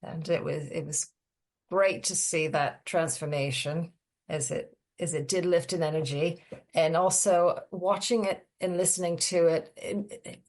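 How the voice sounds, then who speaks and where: A woman's voice: on the low side, gravelly. A woman speaking as a writer, reflecting casually on her own work. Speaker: writer, guest.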